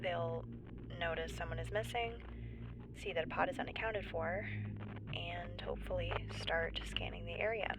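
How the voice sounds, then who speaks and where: A woman playing a nervous stranded geologist in a sci-fi audio drama log, halting and rambling as she tries to stay composed. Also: lowish voice, noisy background, lightly acted. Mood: cautiously hopeful. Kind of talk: audio drama monologue, found-footage log.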